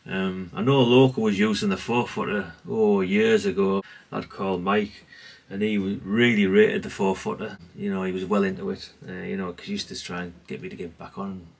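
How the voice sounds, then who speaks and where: A man's voice: sits low, level tone, fairly quick, creaky, few pauses, recorded from a distance. A man giving a casual, rambling, understated show-and-tell, speaking off the cuff. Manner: rambling, self-effacing, understated. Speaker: hobbyist presenter.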